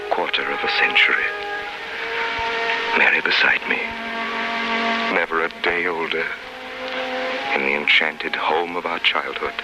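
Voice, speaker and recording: An actor playing an anguished, devoted prisoner in a solemn, impassioned 1930s radio drama style. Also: male voice, rumbling low, fairly even intonation, a little slow, long pauses, very noisy. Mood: wistful reminiscence. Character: anguished, devoted middle-aged male prisoner looking back on love. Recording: 1930s radio broadcast with music.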